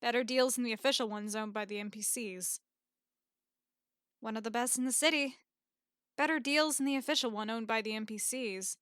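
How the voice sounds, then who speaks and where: A woman acts a confident fantasy blacksmith girl in an audiobook drama. The voice is fairly high, very clean and smooth, with a businesslike, matter-of-fact manner. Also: normal speed, pauses often, a bit bright, no echo, studio quality, close to an everyday voice. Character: businesslike, confident female swordswoman blacksmith with a tail. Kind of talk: audiobook drama character acting.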